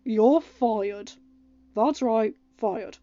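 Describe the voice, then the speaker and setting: A woman's voice: a little high, very slow, mellow, no room sound. Lady playing a young king in an audiobook, polite but firm, dry slightly muffled sound. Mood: stern. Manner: courteous, decisive, formal.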